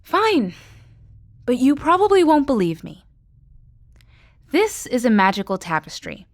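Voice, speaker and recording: A woman's voice: high voice, very animated, measured pace. An actress playing an adult woman who runs a comic store and guides younger friends, in an audio drama. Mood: explaining. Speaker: store owner.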